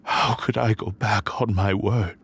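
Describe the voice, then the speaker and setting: An actor voicing an ancient male sword spirit who speaks slowly and gravely, with weary sighs. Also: fairly low pitch, steady tone, fairly bright tone, slight background noise. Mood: weary grief. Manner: slow, weary and grave, sighing.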